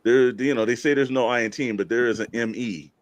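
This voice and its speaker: A man's voice: medium pitch, a little fast, a little hiss or hum. A man hosting a casual panel chat, speaking earnestly in little speeches to the group. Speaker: host.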